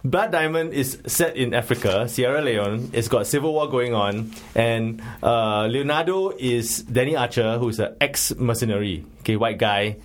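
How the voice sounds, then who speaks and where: A man's voice: mid-range voice, moderate pace, few pauses. A man with a Singaporean English accent, hosting a casual film-review chat in a jokey, rambling, colloquial way. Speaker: podcast host.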